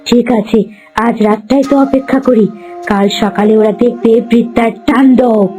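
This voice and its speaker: A woman's voice: fairly high pitch, fairly even intonation, normal speed, close to an everyday voice. A woman voicing a vengeful, menacing demoness in a fairy-tale cartoon, imperious and threatening. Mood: gloating menace. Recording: loud music behind the voice.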